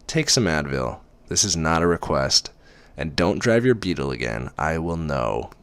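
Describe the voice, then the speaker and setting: A man reading aloud as a cool, controlling rich young man who gives clipped, deliberate orders. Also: a bass voice, lively intonation. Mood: commanding.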